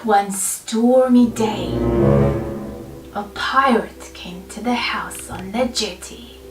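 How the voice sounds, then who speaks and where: A woman's voice: mid-range voice, slow. Woman storyteller in a roomy, distant recording. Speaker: storyteller reading a picture book aloud. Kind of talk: expressive children's story reading. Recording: roomy, distant mic, faint music behind. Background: faint background music.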